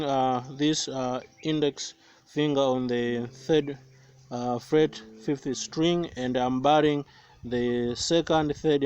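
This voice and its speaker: A young Tanzanian man whose first language is Swahili, giving a slow, careful guitar lesson outdoors with many pauses and fillers. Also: mid-range voice, a little mellow, smooth, muffled recording. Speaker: guitar teacher. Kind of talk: guitar tutorial. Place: outdoors by banana plants. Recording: faint guitar playing behind voice.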